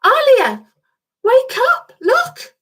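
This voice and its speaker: A woman voicing an excitable, eager little boy angel in a storybook, with urgent exclamations. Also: extremely high pitch, extremely slow, voice pushed up, exaggerated voice. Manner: breathless exclamations and urgent questions.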